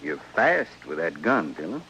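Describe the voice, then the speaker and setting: Western radio gunman: cocky, vengeful, quietly threatening; muffled vintage sound. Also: low-pitched, big pitch swings, measured pace, full character voice. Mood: taunting menace. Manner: taunting, threatening, coolly confident. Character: menacing vengeful gunman in the Old West. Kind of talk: 1950s radio western acting. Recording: dull, hissy old radio recording.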